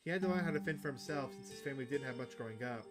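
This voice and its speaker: A man's voice: medium pitch, racing, over music, natural voice. A man voicing a chatty, upbeat outdoorsy woman who hunts cryptids, reading visual novel dialogue aloud. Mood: chatty, fond.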